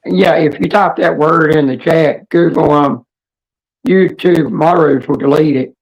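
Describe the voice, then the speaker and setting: Raspy gravelly woman, lowish for a lady, rambling on a casual livestream, blunt and halting. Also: fairly even intonation, a little mellow, lo-fi sound. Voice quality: hoarse, raspy. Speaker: livestream host.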